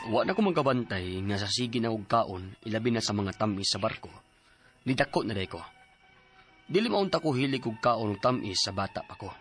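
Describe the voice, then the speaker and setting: A man's voice: lowish voice, lively intonation, brisk, fairly bright tone, soft background music. A man in his forties with a Cebuano accent, telling his own story in a radio drama, plaintive and sighing. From Philippines. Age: 40s. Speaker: narrator and letter writer.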